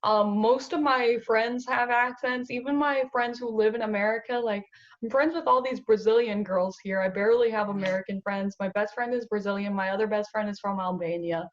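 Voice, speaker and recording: A woman's voice: mid-range voice, fairly even intonation, fairly quick. Young woman, faint Southern lilt, lo-fi. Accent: slight Southern US. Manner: chatty, self-deprecating, many fillers. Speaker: guest model. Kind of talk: casual interview chat.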